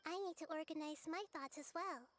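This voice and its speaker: An actress playing a calm, wise, youthful deity in a video game, recounting lore in a measured, thoughtful way. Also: female voice, high voice, close to an everyday voice. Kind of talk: scripted game dialogue.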